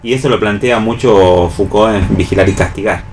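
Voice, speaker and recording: A man's voice: on the low side, normal speed, over a noisy crowd. An Argentine man in an analytical radio round-table, explaining at length and often repeating and rephrasing himself. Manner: expository, repeats and rephrases phrases. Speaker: radio show panelist.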